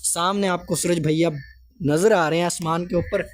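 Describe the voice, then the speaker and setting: A high-voiced man talks chattily to his viewers in a home vlog. His throat is sore from a cold. A faint noise sits behind him. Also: fairly even intonation, normal speed. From Pakistan. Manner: chatty, addresses viewers often. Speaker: vlogger. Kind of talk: casual vlog narration to viewers. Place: hot store room in a village house.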